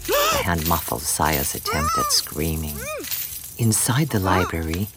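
A man's voice: deep voice, wildly swooping pitch, unhurried. A man narrating a fantasy audio drama in a scripted, dramatic storytelling style. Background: music.

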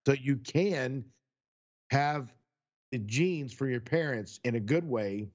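A man's voice: medium pitch, animated, slow pace, a little mellow, lo-fi sound. Chatty man in his sixties. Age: 60s. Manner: chatty, rambling storyteller. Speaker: podcast co-host. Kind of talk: casual podcast chat.

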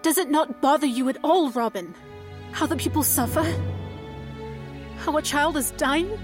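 A woman's voice: sits high, moderate pace, over music, natural voice. A woman playing an earnest, reproachful and compassionate young noblewoman in an audio drama, speaking formally and with righteous intensity.

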